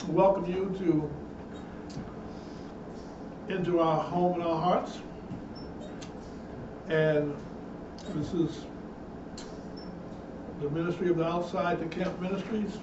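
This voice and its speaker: A man preaching a Bible teaching sermon as a minister, emphatic and repetitive, building in intensity. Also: a little high. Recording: echoey, distant, dull room sound with noise.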